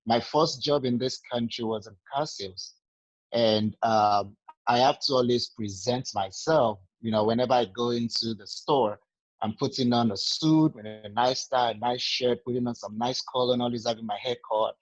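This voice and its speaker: A man's voice: mid-range voice, normal speed, no echo. Nigerian man with a dull, dry sound. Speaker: sales agent. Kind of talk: casual group training talk.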